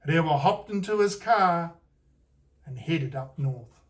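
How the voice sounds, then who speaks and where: A man with a New Zealand accent reads a picture book aloud with an unhurried, expressive delivery. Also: on the high side, recorded from a distance. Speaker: author and storyteller. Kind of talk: scripted picture-book narration.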